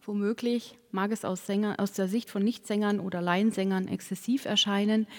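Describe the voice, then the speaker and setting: Woman lecturing in a reverberant hall. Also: mid-range voice. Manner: measured, academic phrasing with occasional self-corrections. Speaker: academic lecturer. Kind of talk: formal read lecture.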